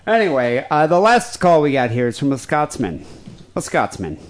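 A man's voice: a little high, very animated, normal speed. A man co-hosting a podcast in casual comedic chat, with sarcastic, mock-serious joking. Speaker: podcast co-host.

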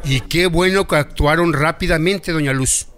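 A man's voice: on the high side, normal speed, a bit bright, some chatter behind, close to an everyday voice. An actor playing a friendly, respectful male doctor with a Mexican accent, explaining things didactically in an educational radio drama. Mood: instructive. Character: friendly instructive male doctor teaching village women.

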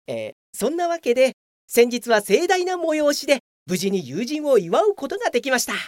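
Woman playing a high, animated, pompous office worker. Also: normal speed, no echo, studio quality. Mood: self-satisfied, excited. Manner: pompous, grandly self-promoting. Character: brazen, oblivious, self-satisfied veteran office worker. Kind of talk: comedic Japanese radio drama acting.

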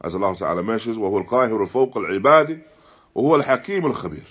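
Slightly husky man giving a religious lesson. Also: lowish voice, racing, a bit bright. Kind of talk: Islamic lecture explaining a text, with Arabic recitation. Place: live-streamed lesson at an Islamic centre.

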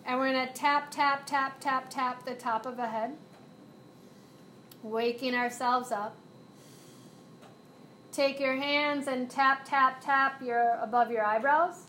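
Slow, soothing woman yoga teacher. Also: high-pitched, fairly even intonation, pushed and bright, a little hiss or hum. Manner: slow, soothing cueing with breath prompts. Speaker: yoga and mindfulness instructor. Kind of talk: guided chair yoga instruction. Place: classroom.

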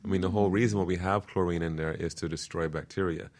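Deep low voiced guy, guest on a casual talk show, explains stuff step by step, earnest. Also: steady tone, fast, darkish tone. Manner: earnest, stepwise explaining, checks in with "right?". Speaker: guest permaculture designer explaining his work. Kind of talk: casual explanatory radio interview.

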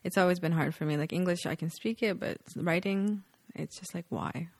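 Low gravelly young woman, chatty. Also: normal speed, no room sound. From Canada. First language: Polish. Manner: laughs often, relaxed and chatty. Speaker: guest. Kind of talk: casual interview chat.

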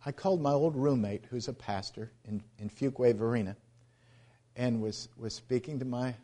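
A middle-aged man, a missionary, giving an earnest church presentation about his ministry, halting often and restarting his sentences. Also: mid-range voice, warm tone.

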